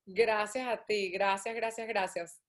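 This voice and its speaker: A woman from Venezuela, a guest telling stories in a casual interview, animated and often acting out other people's words. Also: mid-range voice, measured pace. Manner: lively, acts out quoted people, laughs often. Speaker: guest, shoe designer.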